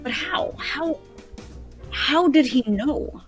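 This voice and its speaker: A woman's voice: sits high, lively intonation, slow pace, faint music behind. A woman playing an earnest, baffled police detective in a radio play, speaking emphatically. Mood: puzzled, probing. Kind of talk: radio play table read.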